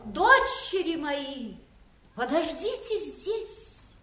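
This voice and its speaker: A woman's voice: high voice, very animated, drawn-out words, heavy background noise, exaggerated voice. An actress playing a fussy, exasperated middle-aged widowed queen in a fairy-tale audio play, theatrical and gushing. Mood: composed. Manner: theatrical, gushing, with nervous fussiness.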